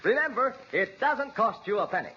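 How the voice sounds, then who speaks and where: An eager, folksy male radio announcer in 1940s style, high for a man and very animated. The old recording is muffled with faint noise. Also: moderate pace. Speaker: radio announcer and sponsor pitchman.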